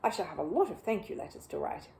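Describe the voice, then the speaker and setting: A woman's voice: on the low side, big pitch swings, fast, gravelly, warm tone, slight background noise. A woman voicing a polite, eager young male bear from a children's storybook, earnest and courteous. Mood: earnest, hopeful.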